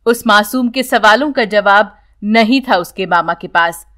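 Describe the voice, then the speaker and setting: A woman's voice: medium pitch, measured pace, fairly bright tone. A woman narrating a scripted bedtime story for children as a storyteller.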